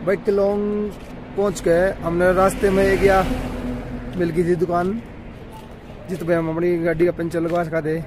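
A man's voice: high-pitched, level tone, moderate pace, pauses often. A man in his thirties chatting casually to viewers as he vlogs a road trip, filming on a handheld phone outdoors and from a car. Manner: chatty, keeps calling viewers "brother". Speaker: travel vlogger. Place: outdoors on mountain roads and in a car. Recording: noisy outdoor phone recording.

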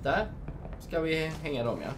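Chatty young man gaming, slightly high voice. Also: expressive, background noise. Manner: chatty, reads game menus aloud, gushes. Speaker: gamer, channel host. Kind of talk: let's play commentary. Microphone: desk microphone.

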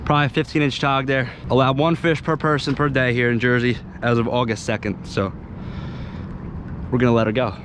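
A young American man giving excitable, slangy casual commentary while fishing, recorded outdoors on an action camera. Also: mid-range voice, fairly quick. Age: young adult. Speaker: fishing vlogger. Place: outdoors on a small boat under a bridge. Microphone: head-mounted action camera. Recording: noisy outdoor action-camera audio on boat.

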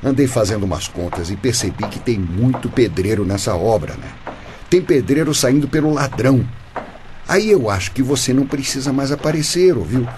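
Actor playing a slippery, animated Brazilian construction boss. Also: male voice, mid-range voice, moderate pace, a little hiss or hum, lightly acted. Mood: falsely friendly, breezy. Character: glib, crooked construction boss, breezy and dismissive. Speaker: actor in a radio drama. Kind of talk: Brazilian radio soap acting.

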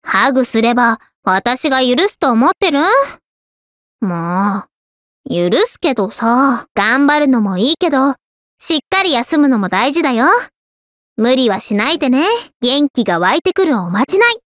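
A woman voicing an easygoing, affectionate teenage schoolgirl in an anime game, with a caring, gently teasing manner. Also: on the high side, animated, moderate pace, dry recording, clean studio sound. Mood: caring, encouraging. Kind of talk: scripted anime game voice lines.

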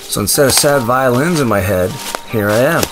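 An actor playing a wry, sentimental, quick-witted wasteland survivor in an audio drama, with a conversational, hesitant, dryly humorous delivery. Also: male voice, medium pitch, animated, over music, natural voice. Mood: wistful, wry. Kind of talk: audio drama acting with narration.

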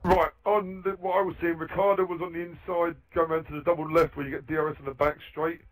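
A man's voice: fairly high pitch, level tone, fast talker, rough recording. A man giving casual live commentary as a co-commentator on an online race.

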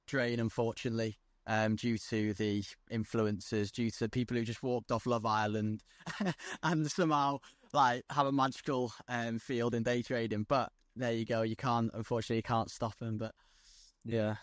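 A man's voice: mid-range voice, fairly even intonation, normal speed, no echo. A young man from North Wales, a guest on a video call from outdoors, talking bluntly and chattily about his trade. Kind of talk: casual video-call interview. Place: outdoors on a lawn by the coast.

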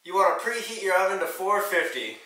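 A young man co-hosting a home cooking tutorial, cracking silly deadpan jokes as he explains the steps. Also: high voice, fairly quick, recorded from a distance, background noise. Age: young adult. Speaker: cooking show co-host. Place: small home kitchen, indoors. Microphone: camera mic from a distance. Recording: echoey kitchen from off-mic.

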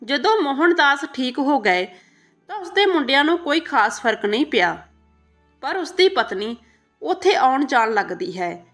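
A woman storyteller reads a scripted moral tale. Her voice is high, and her pitch moves expressively up and down. Soft music runs behind her narration. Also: very animated, a little slow.

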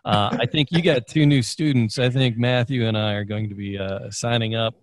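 A laid-back middle-aged male host chats casually into a studio microphone from his home office. His voice sits at a middle pitch and sounds dry and close, with no room around it. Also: steady tone, normal speed, no echo. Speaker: podcast host. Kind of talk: casual talk show hosting. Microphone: studio microphone with headphones.

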